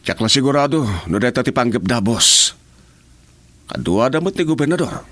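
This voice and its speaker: A man's voice: mid-range voice, animated, normal speed, a bit bright, no room sound. A man playing a sycophantic, teasing henchman in a radio serial. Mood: confident. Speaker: actor in a radio drama.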